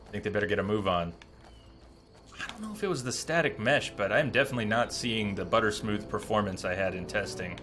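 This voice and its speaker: A man with a fairly low but bright, forward voice streams a game. He chats casually, explaining and joking as he rambles, with some game music behind him. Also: lively intonation, fast talker. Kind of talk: casual live gameplay commentary.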